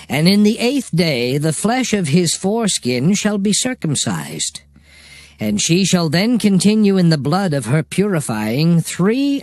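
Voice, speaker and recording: A male actor plays a solemn divine lawgiver in a dramatized Bible reading. The voice sits fairly high and moves through very lively rises and falls, declaiming each decree formally, in a dry, close recording. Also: no echo. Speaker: dramatized scripture reader.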